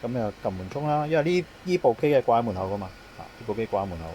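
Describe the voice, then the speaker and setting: Man, Hong Kong Cantonese accent, average pitch, informal installer explanation, repeats key points, noticeable background noise. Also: animated, racing, dark tone. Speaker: intercom installer, technician. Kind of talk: informal product demonstration and handover explanation. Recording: clear background noise, on-site ambience.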